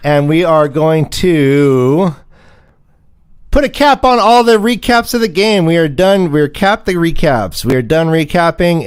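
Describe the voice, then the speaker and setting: A man's voice: a little high, smooth. A man hosting a casual fantasy football show, bantering and announcing with jokey, theatrical emphasis. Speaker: livestream show host.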